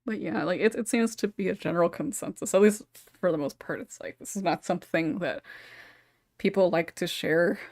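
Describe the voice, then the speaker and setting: A woman's voice: fairly low pitch, a little fast, a little mellow, no room sound, clean studio sound. A woman hosting a casual panel discussion, chuckling often as she talks. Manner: chuckles often while talking. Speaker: podcast host and moderator.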